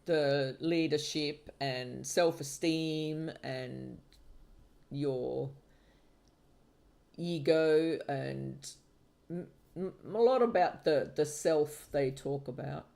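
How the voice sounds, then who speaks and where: A middle-aged woman from Finland hosts a casual palmistry livestream, and her speech is slow and unhurried. She talks hesitantly, often trailing off into fillers and starting her sentences over. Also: sits low, creaky, mellow. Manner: hesitant, halting with many fillers and restarts. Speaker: palmistry teacher and channel host.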